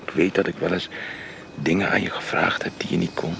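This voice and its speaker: A man playing a tender young painter in love, speaking softly and often in a whisper in a radio drama. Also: sits low, flat delivery, fairly quick, gravelly, noisy background. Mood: pleading. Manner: soft, intimate, often whispering. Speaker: suitor Jaap.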